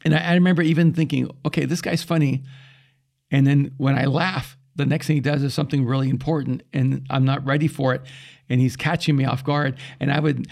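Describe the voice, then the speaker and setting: A middle-aged man from El Salvador, a pastor whose first language is Spanish, telling animated stories in casual conversation. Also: mid-range voice, a little fast, creaky. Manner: animated storyteller with quoted asides. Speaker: pastor and podcast guest.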